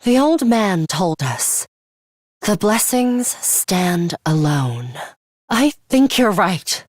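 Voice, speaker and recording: A woman voicing a ruthless, blunt high school girl fighter in a video game, composed, deadpan and commanding. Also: extremely slow, no room sound, studio quality, heavily acted. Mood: cool, dismissive. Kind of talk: scripted English game voice acting.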